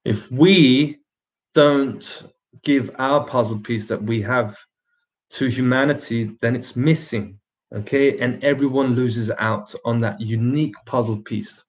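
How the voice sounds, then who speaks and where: A man with a slightly British accent talks casually to his livestream viewers. He fires off emphatic rhetorical questions and laughs often between his remarks, in a mid-range voice. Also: mid-range voice. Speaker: livestream host.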